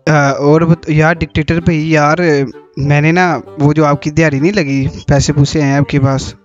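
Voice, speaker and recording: A man's voice: fairly high pitch, level tone, normal speed. A man in his twenties from Pakistan with a Pakistani accent, a streamer chatting casually on a prank phone call. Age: 20s. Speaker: gaming streamer making prank calls. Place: indoor gaming room. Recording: muffled sound, loud music behind.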